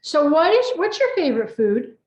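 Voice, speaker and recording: A woman's voice: sits high, sing-song, normal speed, a little mellow. A middle-aged American woman chatting casually as a host, talkative and rambling as she tells stories with quoted asides. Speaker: host and book co-author. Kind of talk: casual personal chat. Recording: echoey room, slightly dull audio.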